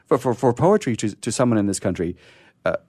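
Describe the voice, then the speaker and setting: A man's voice: mid-range voice, sing-song, darkish tone, no echo, clean studio sound. A man hosting a radio show in casual, unscripted talk, stammering and restarting often, with a sore throat. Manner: halting, often stammering and restarting mid-phrase.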